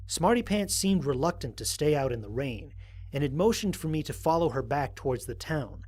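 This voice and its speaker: A man playing a thoughtful, wary space explorer, narrating scripted mission logs in a measured, articulate, reflective way. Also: on the high side, lively intonation, a little mellow, slightly rough. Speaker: narrator of a fan audio drama. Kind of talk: scripted first-person mission log narration.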